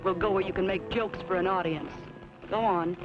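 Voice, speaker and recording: A woman's voice: mid-range voice, steady tone, normal speed, natural voice. A woman playing a hard, trigger-happy rancher's daughter in an old western radio drama, curt and bossy. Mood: stern, commanding. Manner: curt, commanding. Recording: muffled old radio recording with music.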